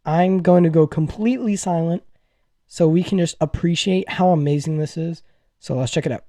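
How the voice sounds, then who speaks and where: Excitable young-sounding man, higher-pitched mellow voice. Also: normal speed, no room sound, clean studio sound. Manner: gushing, excitable, effusive. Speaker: gaming news video host. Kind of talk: casual gaming commentary to viewers.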